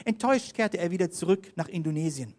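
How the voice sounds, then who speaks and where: A man's voice: high voice, expressive, quick pace, a little mellow. A man preaching a sermon, telling mission stories, reading scripture aloud and calling for the next slide. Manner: storytelling, reads scripture aloud, directs slides.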